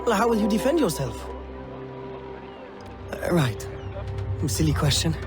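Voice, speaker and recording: A man's voice: sits high, big pitch swings, measured pace. An actor playing an earnest, devoted young male assistant in a video game, anxious and slightly awkward in his manner. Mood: resigned, helpful. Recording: music under the voice.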